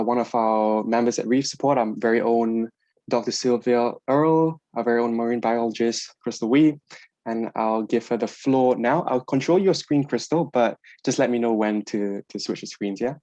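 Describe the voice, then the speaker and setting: A man giving an informal, explanatory presentation on a video call, somewhat halting as he goes. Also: medium pitch, a little mellow, dry recording, muffled recording. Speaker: organization presenter.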